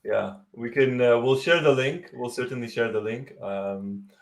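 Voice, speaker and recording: A man's voice: mid-range voice, a little slow, gravelly, crowd noise. A man in his forties hosting a casual live stream over a video call headset, speaking in an easygoing way and moving the talk along. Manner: easygoing, wraps up segments. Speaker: host. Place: small home room. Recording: roomy echo.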